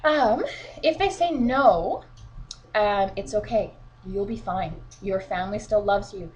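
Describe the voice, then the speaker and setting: A woman's voice: mid-range voice, measured pace, a little mellow, lo-fi sound. Chatty woman with a sniffly cold. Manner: chatty and encouraging, frequent fillers. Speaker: sales team leader. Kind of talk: casual instructional vlog. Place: at home, children beside her.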